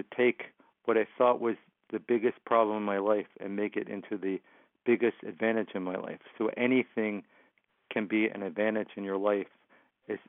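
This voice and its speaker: A man's voice: lowish voice, dry recording. A man with a stutter, a motivational speaker answering interview questions, often repeating words and sounds. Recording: phone line, dry.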